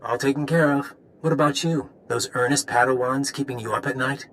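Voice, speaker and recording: An actor playing a cocky, sly conspirator who taunts casually in a video game. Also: male voice, mid-range voice, fairly quick, fairly bright tone, a little hiss or hum, natural voice, poor audio. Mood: smug taunting. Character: smug, sardonic male spy conspirator, cocky and taunting.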